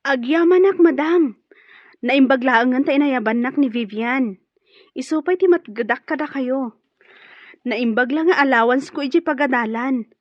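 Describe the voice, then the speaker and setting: An actress playing a polite, earnest young student looking for work in a radio drama. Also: female voice, sits high, normal speed, no room sound, muffled recording. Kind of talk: Ilocano radio drama acting.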